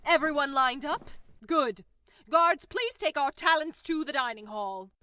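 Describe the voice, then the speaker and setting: A woman's voice: high-pitched, a little slow, bright, forceful tone. A woman playing a stern, imperious prison warden in an audio drama, formal and commanding as she gives orders. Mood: brisk, stern.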